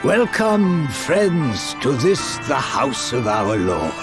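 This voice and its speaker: An actor playing a serene, unctuous archbishop of a dragon faith in a video game, lofty and measured. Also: male voice, medium pitch, very animated. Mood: serene, pious. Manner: lofty, measured, falsely benevolent. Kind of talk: dramatic video game acting. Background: loud music.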